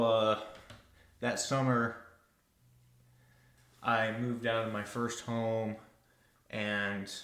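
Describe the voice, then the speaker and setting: A young man of twenty talks slowly and unhurriedly, his voice sitting a bit low. He hesitates and pads his sentences with filler words. The recording has a noticeable room echo. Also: fairly bright tone. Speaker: podcast co-host. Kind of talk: casual podcast chat. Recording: echoey room.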